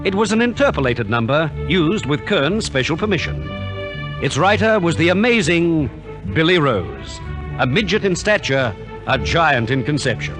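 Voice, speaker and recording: Lively, theatrical male radio narrator. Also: fairly high pitch, normal speed, over music. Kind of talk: 1950s radio scripted narration.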